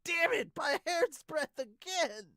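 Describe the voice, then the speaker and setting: A man voicing a loud, brash, excitable teenage boy baseball player in an amateur manga dub. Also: extremely high pitch, measured pace, no room sound, studio quality, pitched above the performer's own voice, heavily acted. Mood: fired up. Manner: shouted, excitable exclamations.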